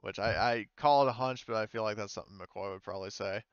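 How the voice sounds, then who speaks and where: A man as game master, narrating and chatting casually in a halting, loosely profane way. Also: lowish voice, clean tone, no room sound, highs cut off.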